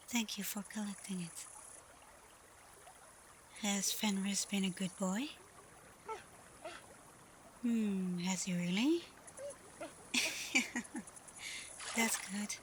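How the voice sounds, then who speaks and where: A woman playing a caring, teasing female alpha werewolf in an intimate, softly spoken ASMR roleplay. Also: medium pitch, a little hiss or hum. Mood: friendly, relaxed, then warm, calm. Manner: playful, unhurried, soft, at times whispering. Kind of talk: ASMR roleplay, intimate acting addressed to listener.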